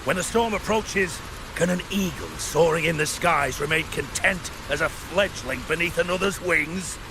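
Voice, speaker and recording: A man voicing a bold older gladiator in a video game, gravelly, proud and declamatory. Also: fairly high pitch, moderate pace, background noise, natural voice. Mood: proud, stirring. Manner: rhetorical, declamatory.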